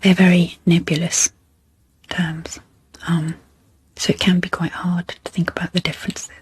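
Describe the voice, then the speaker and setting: A woman speaking very softly and breathily in an ASMR style, gently introducing guided relaxation with unhurried, pausing phrases. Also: fairly low pitch, flat delivery. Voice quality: soft, breathy, half-whispered. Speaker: host, ASMR psychologist. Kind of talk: soft-spoken ASMR guided sleep meditation introduction.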